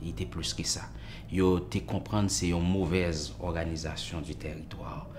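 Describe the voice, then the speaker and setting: A man's voice: deep voice, fairly even intonation, moderate pace, darkish tone. A man with a Haitian accent speaking in a documentary interview. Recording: music bed behind voice.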